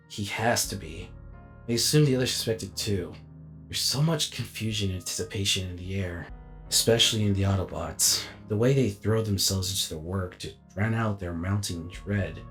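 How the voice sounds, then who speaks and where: A man playing a weary, reflective alien robot warrior in a dramatic inner monologue, speaking deliberately and formally. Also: on the low side.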